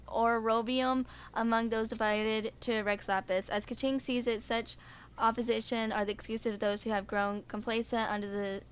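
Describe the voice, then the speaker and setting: A young woman in her twenties with a smooth, clean voice on the high side. She reads game lore aloud and adds casual commentary, informal and a little halting over her words. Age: 20s. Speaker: gaming video creator.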